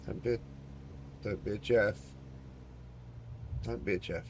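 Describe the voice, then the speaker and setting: A man's voice: fairly low pitch, a little slow. A man streaming a flight simulator game, thinking aloud to himself and his chat, joking and swearing as he goes. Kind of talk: casual live commentary while playing a flight simulator. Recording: loud engine noise from the game.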